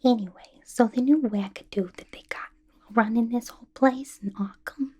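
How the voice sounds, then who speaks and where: Casting: woman acting as a mischievous young villainess inmate for ASMR roleplay, breathy whisper, soft mellow tone, gossipy and manic. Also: a little high, normal speed. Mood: gossipy, conspiratorial. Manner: playful, slangy, conspiratorial gossiping. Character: manic, chatty, mischievous young female villain locked in an asylum.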